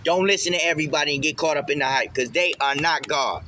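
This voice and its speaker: A man's voice: on the high side, fast talker, sharp tone, a little hiss or hum. A man speaking emphatically in street slang in a casual spiritual vlog monologue, often repeating phrases for emphasis. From Puerto Rican heritage. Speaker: vlogger.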